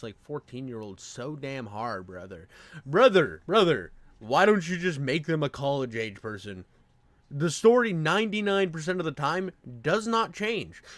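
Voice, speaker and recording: Animated, brash man reacting. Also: on the high side, dry recording. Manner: animated, brash, slangy, jokingly exasperated. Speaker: reaction host. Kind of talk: casual reaction commentary.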